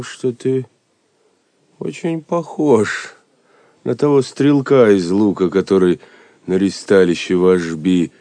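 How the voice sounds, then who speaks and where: An actor playing a gruff, loyal, plain-spoken swineherd in an audio drama, earnest in manner. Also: male voice, low-pitched, mellow, no echo.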